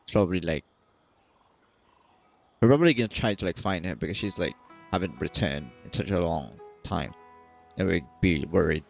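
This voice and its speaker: A man's voice: low-pitched, lively intonation, moderate pace, gravelly, pauses often, lo-fi sound. A man giving casual let's-play commentary, rambling chattily and reacting aloud. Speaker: gaming streamer. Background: faint game music.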